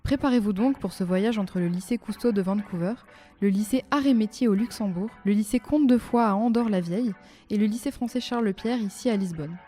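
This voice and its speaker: Woman podcast host, scripted questions, mellow tone, soft music behind. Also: medium pitch, brisk.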